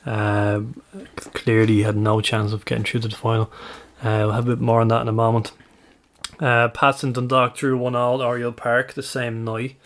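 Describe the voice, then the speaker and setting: A man's voice: medium pitch, level tone, normal speed. A man with an Irish accent hosting a casual podcast, chatting easily, often laughing and correcting himself mid-sentence. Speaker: podcast host. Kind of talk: casual chat.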